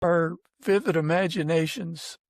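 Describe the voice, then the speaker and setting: Slow-talking man hosting a podcast. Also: fairly high pitch, steady tone, vocal fry, no room sound, clean studio sound. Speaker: podcast host. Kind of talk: conversational interview and informal prayer.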